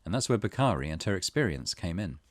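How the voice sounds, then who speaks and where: A man's voice: low-pitched, sing-song, fast, no room sound, studio quality. A man hosting a let's play, reading game text aloud and adding his own commentary.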